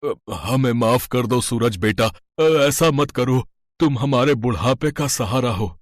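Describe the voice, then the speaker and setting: A man's voice: medium pitch, unhurried, no room sound, studio quality, close to an everyday voice. A man voicing a middle-aged father in a storybook tale, placating, apologetic and hesitant. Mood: pleading.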